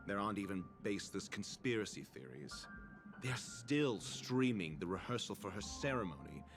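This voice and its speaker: A haughty video game character. His low voice is dry, measured and aloof, with a pompous edge, set over clear music. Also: very animated. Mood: cool disdain. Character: haughty, dry male associate reporting coolly. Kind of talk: dramatic video game acting. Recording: music behind the voice.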